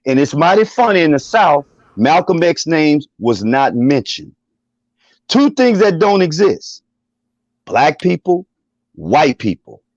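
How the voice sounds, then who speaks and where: A man's voice: on the high side, big pitch swings, takes it slowly, no echo, lo-fi sound. A man calling in to a discussion, defiant and profane, repeating himself for emphasis. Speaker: caller joining the discussion. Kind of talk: opinionated call-in commentary.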